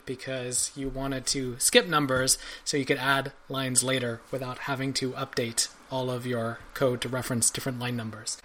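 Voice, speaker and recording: A man's voice: medium pitch, a bit bright. A man in his forties from Belgium, a podcast guest telling his story in a thoughtful, rambling way. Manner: thoughtful, rambling, many fillers and restarts. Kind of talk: casual interview chat.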